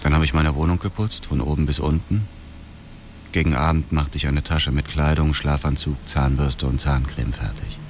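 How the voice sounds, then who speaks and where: An actor playing a cold, brooding widower turned killer, narrating a radio drama in a detached, deliberate way. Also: male voice, very deep voice, steady tone, a little mellow, slight background noise. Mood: matter-of-fact. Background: music.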